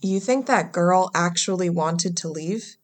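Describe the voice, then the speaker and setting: Woman acting a slow-talking, weary enforcer. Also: fairly low pitch, lightly acted. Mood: weary, curious. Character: weary, casual off-duty government enforcer. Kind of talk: audio drama acting.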